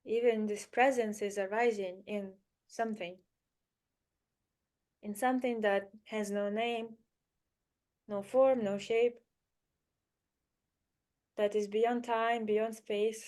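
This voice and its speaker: A woman leading a spiritual guided meditation in a soothing, unhurried manner, with short phrases, pauses and gentle repetition. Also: medium pitch, steady tone.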